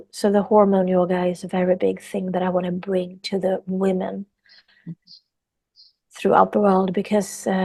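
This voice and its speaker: A woman's voice: mid-range voice, vocal fry, a little mellow, no echo. A woman from Sweden with a Swedish accent, a yoga teacher talking earnestly as a podcast guest and repeating phrases for emphasis. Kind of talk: podcast interview.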